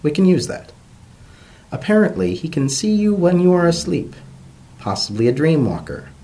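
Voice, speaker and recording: A man voicing an earnest, excitable female pony professor who lectures pedantically in a fantasy story reading. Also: fairly high pitch, normal speed, a little hiss or hum, pitched above the performer's own voice. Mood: eager, scholarly.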